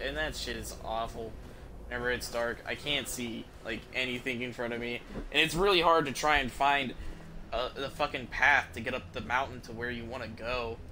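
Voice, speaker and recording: Bright-voiced man streaming, swearing freely. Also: medium pitch, slight background noise, low-quality recording. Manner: profane, easily amused. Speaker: game streamer. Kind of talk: casual gameplay commentary. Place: indoor room at home, streaming.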